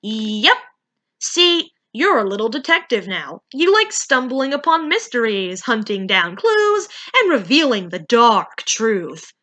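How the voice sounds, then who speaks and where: A woman's voice: on the high side, very animated, takes it slowly, sharp tone, heavily acted. A woman playing an omniscient storybook narrator who chats to a character in a breezy, placating way. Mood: encouraging.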